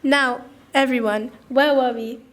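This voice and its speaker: A woman's voice: high voice, fairly even intonation, unhurried, heavy background noise. A female performer playing a stern, sarcastic primary school teacher in a radio play. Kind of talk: scripted radio play acting.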